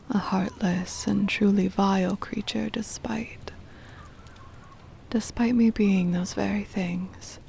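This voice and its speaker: Whispering, creaky ancient vampire mistress played by a woman. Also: mid-range voice, a little hiss or hum. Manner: slow, hushed, formal, deliberate wording. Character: centuries-old female vampire mistress, possessive, tender, doting. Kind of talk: ASMR roleplay, intimate scripted monologue.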